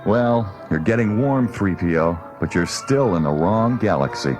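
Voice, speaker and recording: A gruff space captain, short on patience and quick with sarcasm. His delivery jumps up and down in pitch, with music clearly behind him in an audio storybook. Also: expressive. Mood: impatient. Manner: brusque, sarcastic. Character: gruff, impatient male space pilot captain. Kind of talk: children's read-along audio story acting.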